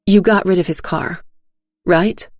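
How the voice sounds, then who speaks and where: A woman's voice: on the low side, unhurried, no echo, studio quality. A woman voicing a tense, resolute woman covering up a crime in an audio drama, speaking with controlled urgency. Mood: controlled unease. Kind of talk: audio drama character acting.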